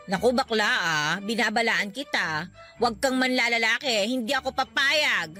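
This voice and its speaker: A woman's voice: a little high, normal speed, pushed and bright. An actress playing a persistent, playful young mother in a radio drama, nagging and coquettish, with music underneath. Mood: jealous, hurt. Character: persistent, teasing young mother in love with best friend.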